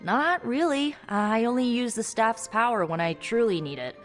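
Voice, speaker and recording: A woman playing a friendly, awkward young sorceress in a video game cutscene, casual and a little halting. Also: fairly high pitch, expressive, in a large hall, soft background music, natural voice. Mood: playful. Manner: casual, halting when flustered.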